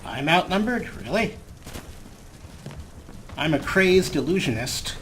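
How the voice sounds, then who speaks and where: Bright, animated man commentating a game. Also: a little high, slow, a little hiss or hum. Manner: playful, talks in character and to characters. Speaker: let's play host. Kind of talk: casual improvised gameplay commentary. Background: video game ambience.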